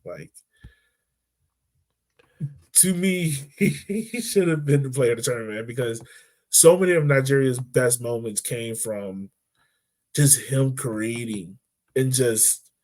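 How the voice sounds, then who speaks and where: A man co-hosting a podcast, talking football in a loose, animated way with lots of fillers and restarts. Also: mid-range voice, slow, long pauses. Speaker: podcast co-host. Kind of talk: casual sports chat.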